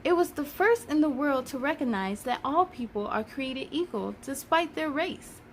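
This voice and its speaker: High-pitched woman reading stories to children. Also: slight background noise. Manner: animated, emphatic, addressing children directly. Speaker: children's read-aloud host. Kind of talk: expressive storybook reading and friendly address to young viewers.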